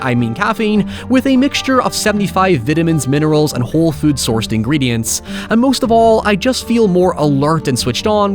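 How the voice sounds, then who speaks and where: Animated, higher-pitched man narrating a video essay. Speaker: host of a film review show. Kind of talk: scripted video essay narration with casual asides.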